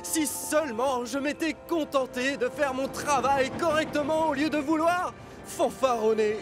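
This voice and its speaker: A man's voice: extremely high pitch, unhurried, over music. A man voicing a boastful, impatient big male express locomotive in a children's cartoon, pompous and full of exclamations. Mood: remorseful. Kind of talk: animated children's series acting.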